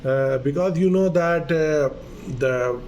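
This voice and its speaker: A man whose first language is Urdu delivers a medical lecture as a doctor, earnest and a little hesitant. His voice sits slightly high for a man, and he stumbles through fillers and restarts. A faint hiss runs under the recording. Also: slow, a little mellow, dull audio. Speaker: doctor presenting a medical video lesson.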